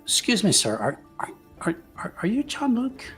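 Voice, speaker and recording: A man voicing an earnest, cautious tavern-goer in a tabletop roleplaying game, polite and halting, often repeating words. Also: high voice, wildly swooping pitch, a bit bright, faint music behind, voice pushed up, heavily acted. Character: soft-spoken, earnest male tavern regular, cautiously warning.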